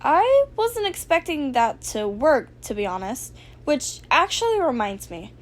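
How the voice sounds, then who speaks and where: A woman's voice: sits high, sing-song, measured pace. A woman voicing a sarcastic, evasive teenage boy hacker with a casual, dismissive manner in a story reading.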